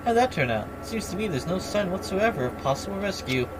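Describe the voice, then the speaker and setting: A man voicing a smug, aloof young man in a video game, talking in a measured, condescending way. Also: high voice, big pitch swings, heavy background noise, voice pushed up. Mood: mocking. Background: game music.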